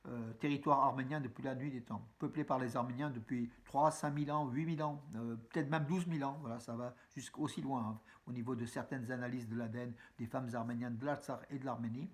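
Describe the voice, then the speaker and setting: A man delivers a casual news roundup straight to his audience. He speaks in a chatty, halting way, full of fillers and restarts, and keeps calling his viewers friends. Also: mid-range voice, steady tone. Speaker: news vlogger. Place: room with a map on the wall behind him.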